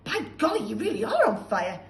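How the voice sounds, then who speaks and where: A woman's voice: very high-pitched, sing-song, a little fast, a bit bright, far from the mic, pitched above the performer's own voice, exaggerated voice. A woman voicing a cheeky, polite young boy who talks eagerly, recorded in an echoey room. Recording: echoey room, faint hiss.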